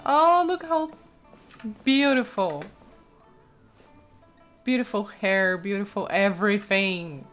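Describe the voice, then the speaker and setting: A woman's voice: medium pitch, slow, pauses often, a bit bright, faint music behind. A woman doing casual let's play commentary, reacting to a game in a gushing, fannish way. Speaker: gaming let's-player.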